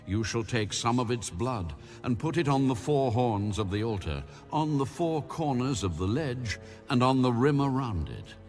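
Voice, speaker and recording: A man narrates scripture with solemn deliberation. His medium-pitched voice moves widely up and down through each measured, scripted phrase. Faint music plays behind him. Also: lively intonation, a little mellow. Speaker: audio Bible narrator.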